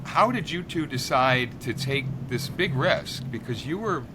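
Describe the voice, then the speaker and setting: A man's voice: medium pitch, expressive, measured pace, noisy background. A man hosting an interview, upbeat and reading out his guests' introductions. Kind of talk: conversational interview.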